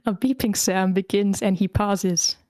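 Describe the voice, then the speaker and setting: A woman's voice: medium pitch, fairly even intonation, a little slow, a little mellow, no room sound. Casual woman hosting, close dry sound. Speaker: table read host and narrator. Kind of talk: informal chat and amateur screenplay reading.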